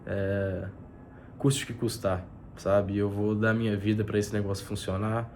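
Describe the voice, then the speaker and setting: A man's voice: fairly low pitch, level tone, measured pace, a little mellow, slight background noise. A man speaking as a business mentor in a casual, earnest motivational talk mixing faith and money, his voice stuffy from a heavy cold, with many fillers and restarts. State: nasal and congested from a cold. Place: spot with a view over the city.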